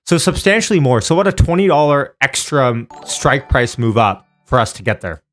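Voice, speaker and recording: A man's voice: medium pitch, very animated, moderate pace, faint music behind. Male streamer, mid-range voice, casual and chatty, talking straight to the audience with off-the-cuff commentary. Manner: informal, chatty, addresses viewers by name. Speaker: live stream host. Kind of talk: casual live stream chat and stock options commentary.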